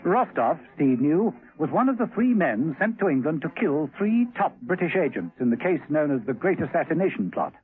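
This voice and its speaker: Casting: man as dramatic radio storyteller, fairly high voice, expressive, narrow old-broadcast sound. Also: moderate pace, hardly any pauses. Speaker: narrator. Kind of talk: scripted dramatic radio narration. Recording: tinny vintage radio recording with music.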